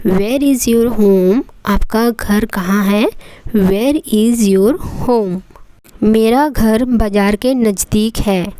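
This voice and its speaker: A woman from India with an Indian accent, teaching English phrases in a lesson and repeating each example slowly and deliberately. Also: mid-range voice, darkish tone, no room sound. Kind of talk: Hindi-language English lesson with drilled phrases.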